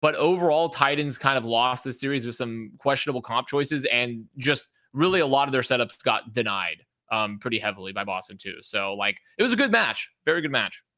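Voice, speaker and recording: A man with a bright, forward voice at a medium pitch talks as an esports podcast panelist. His delivery is casual and wry, starting and stopping as he works through his analysis. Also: expressive, fairly quick, few pauses.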